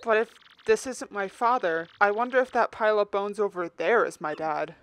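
A woman's voice: mid-range voice, normal speed, soft background music, natural voice. A woman voicing a timid, earnest young male turtle in a fan dub of a video game, reading a letter and yelping in panic. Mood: startled. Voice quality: earnest, excitable, gets loud when startled. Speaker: fan voice actor.

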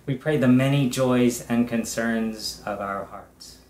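A middle-aged man, a pastor, leading a prayer in a measured, reverent way, in short phrases with long pauses. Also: mid-range voice, a little mellow. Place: indoors, small room with bare wall. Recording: echoey room.

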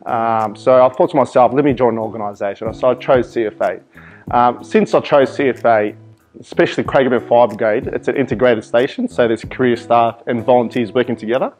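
A man's voice: medium pitch, fairly quick, a little mellow, soft background music. Earnest young man, native Arabic speaker. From Iraq. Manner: earnest, hesitant with many ums and restarts. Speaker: volunteer firefighter. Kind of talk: interview answers. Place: fire station office.

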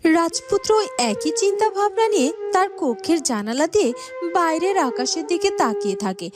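A woman's voice: extremely high pitch, very animated, moderate pace, music in the background. A woman reading scripted narration for a fairytale cartoon.

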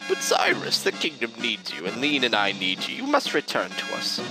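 A man voicing a young queen in a high falsetto, pleading with a knight. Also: wildly swooping pitch, normal speed, music in the background.

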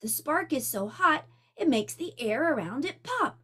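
A know-it-all young beaver from a storybook, matter-of-fact and explaining, as a woman reading aloud puts him on. His voice is high and lively. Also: measured pace, heavily acted.

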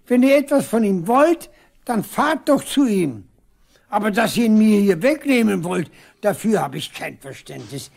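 Frail old father for radio drama: gravelly, halting, wistful, easily confused. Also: sits high, very animated, a little mellow, exaggerated voice. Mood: indignant, hurt. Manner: halting, repeating himself. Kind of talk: 1970s East German radio play acting.

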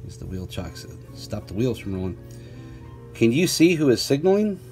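Casting: male storyteller, mid-pitched, deliberate children's read-aloud with chatty asides and playful questions, music underneath. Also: very animated, a little mellow. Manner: clear, deliberate reading with playful questions to young listeners. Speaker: teacher reading a picture book aloud.

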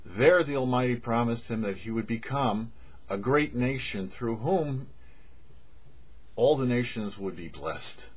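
A middle-aged man delivers a short rabbinic teaching in measured phrases sprinkled with Hebrew. His voice is husky and rises and falls in a lively way at a medium pitch. The recording is dull and lo-fi. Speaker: rabbi, host of a weekly Torah show. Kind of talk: short religious teaching to camera. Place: indoors before a cloth backdrop. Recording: dull, muffled lo-fi audio.

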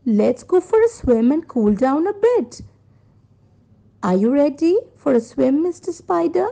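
A woman's voice: high voice, sing-song, measured pace, vocal fry, dark tone, pitched above the performer's own voice, heavily acted, low-quality recording. A woman voicing a friendly, quacking farm duck who coaxes in a children's story. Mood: friendly, inviting.

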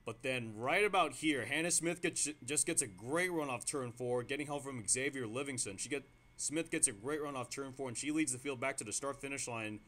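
A man giving play-by-play commentary on a simulated stock car race, getting excited at close moments and restarting sentences with fillers. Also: mid-range voice, a little fast. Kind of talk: amateur sim racing play-by-play commentary.

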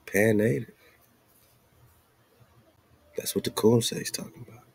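A man's voice: lowish voice, steady tone, slow, creaky, breathy edge, dry recording. A man hosting a show, reading aloud and commenting casually with informal, slangy asides. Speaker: radio show host.

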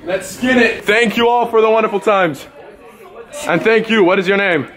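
A man playing a brash, bumbling male wildlife poacher in an improvised comedy skit, excitable and tacking "mate" onto everything. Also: sits high, normal speed. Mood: boastful. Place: school building, indoors. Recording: echoey halls with crowd chatter. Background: students chattering.

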